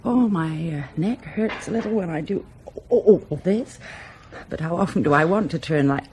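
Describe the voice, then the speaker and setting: A woman's voice: lowish voice, moderate pace, a little mellow, breathy edge. A woman playing a playful, flirtatious character in a radio drama, teasing and coy.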